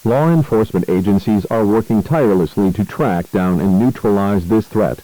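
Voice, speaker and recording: A dry, close recording of a male announcer. His voice is low and dark, and he delivers a scripted emergency alert formally, with grave, measured phrasing. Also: no echo, rough recording.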